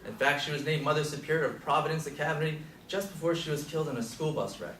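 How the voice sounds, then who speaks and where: A man's voice: a little high, fast talker, a bit bright, natural voice. A man acting in a stage play as an aging, opinionated Beat hipster, wry and declamatory. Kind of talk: stage play scene reading. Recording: echoey room from far off, faint noise.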